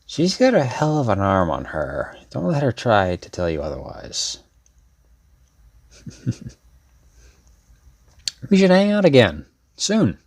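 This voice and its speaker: A man playing a sincere, protective brother in an intimate ASMR roleplay, speaking hesitantly and earnestly with a creaky voice. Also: mid-range voice, wildly swooping pitch, full character voice. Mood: light, teasing. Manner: hesitant, earnest, with trailing pauses. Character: protective older brother, a laid-back but sincere young man. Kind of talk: ASMR audio roleplay, intimate one-sided dialogue.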